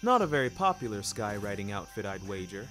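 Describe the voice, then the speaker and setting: A man's voice: on the low side, big pitch swings, brisk, fairly bright tone, soft background music. A man narrating a fiction podcast in the first person, with florid, precise, theatrical delivery.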